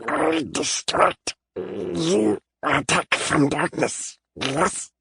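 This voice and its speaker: A man voicing a tiny timid male kobold who talks slowly in halting, broken grammar. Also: on the high side, expressive, creaky, sharp tone, husky, dry recording, pitched above the performer's own voice, exaggerated voice. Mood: scheming. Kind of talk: audiobook character voice.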